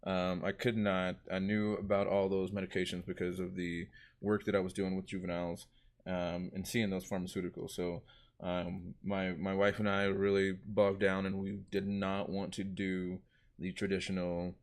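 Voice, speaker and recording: A man's voice: low voice, level tone, normal speed. A man speaking earnestly as a guest in a live talk show, with many fillers. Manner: earnest, many fillers and "you know what I mean".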